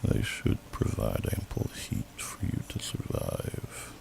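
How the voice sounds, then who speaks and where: A man voicing a huge, gentle older male dragon in a deep, gravelly half-whisper, with slow, courtly, old-fashioned speech in an ASMR roleplay. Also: natural voice. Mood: gentle, hospitable. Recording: noisy amateur home microphone.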